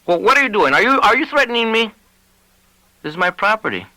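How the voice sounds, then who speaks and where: A man's voice: sits high, sing-song, fast, bright, forceful tone, no echo, voice pushed up, exaggerated voice, poor audio. A man voicing an anxious, blustering landowner in an audiobook, agitated and prone to stammering. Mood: angry. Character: blustering, anxious male landowner, easily rattled.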